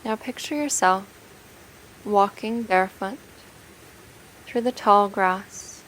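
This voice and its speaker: A woman narrating a guided meditation in a gentle, soothing, unhurried way, leaving long pauses between phrases. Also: mid-range voice, a little hiss or hum, low-quality recording.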